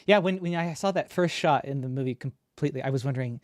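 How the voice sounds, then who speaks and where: A man's voice: mid-range voice, quick pace, no room sound. The male host of a film livecast in a dry, close-sounding setup, chatting casually and keenly impressed by the guest. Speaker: interview host. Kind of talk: casual conversational interviewing.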